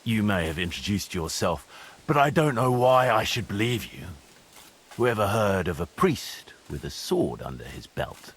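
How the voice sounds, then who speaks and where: A husky, brash mercenary commander. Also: male voice, on the low side, sing-song, normal speed, fairly bright tone, exaggerated voice. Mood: suspicious. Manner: blunt, mocking, swaggering. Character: brash, foul-mouthed, sardonic medieval mercenary commander. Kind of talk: video game cutscene acting.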